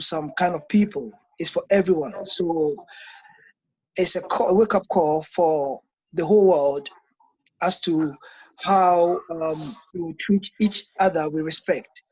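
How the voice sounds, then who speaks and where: A man with a Ghanaian accent, speaking thoughtfully in a halting way with many pauses and ums. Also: a little high, a little mellow, breathy edge, rough recording. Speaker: interviewee, church member. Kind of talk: casual spoken reflection.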